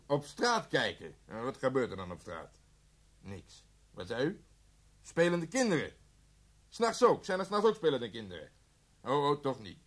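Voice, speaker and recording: Exasperated, sarcastic policeman, animated delivery. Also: mid-range voice, normal speed, pauses often. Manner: sarcastic, indignant. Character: exasperated, sarcastic male police officer on the phone. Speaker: actor in a radio drama. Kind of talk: Dutch radio play acting.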